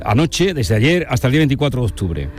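A man from conducts an informal radio interview. His voice sits at a middling pitch, and he is polite and encouraging, with hesitant phrasing. Faint music plays behind him. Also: sing-song, quick pace.

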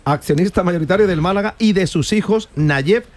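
A man hosting a sports radio show, joking and teasing his guest in a casual, lively way. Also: high voice, moderate pace.

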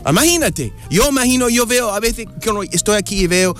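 American man speaking Spanish, high voice. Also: faint music behind. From United States. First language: English. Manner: halting, restarts words, animated pitch swings. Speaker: guest. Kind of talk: casual interview chat.

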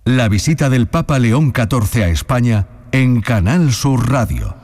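A man's voice: mid-range voice, lively intonation, a little slow, a little mellow, dry recording, studio quality. A man reading radio station promos in a punchy promotional voice-over. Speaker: station announcer.

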